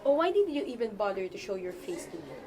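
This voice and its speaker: A woman's voice: mid-range voice, big pitch swings, brisk, darkish tone, slight background noise, natural voice. A woman with a Filipino accent acting as a stern, scolding college professor in a radio drama.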